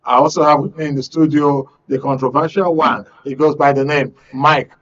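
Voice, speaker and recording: A man with a Nigerian accent hosting a current affairs programme in a studio, speaking in a measured, formal, wordy way. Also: a little high, fairly even intonation, fairly bright tone, a little hiss or hum. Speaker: radio and TV host. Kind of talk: current affairs show introduction. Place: radio and TV studio. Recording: dull, band-limited broadcast audio.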